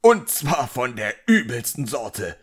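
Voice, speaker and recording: An irascible, self-important middle-aged magician played by a male narrator in an audiobook. He pitches his voice well above a relaxed narration and barks out bitter, emphatic complaints. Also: slow, husky, exaggerated voice. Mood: indignant ranting. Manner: barking, emphatic, indignant. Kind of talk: audiobook character dialogue.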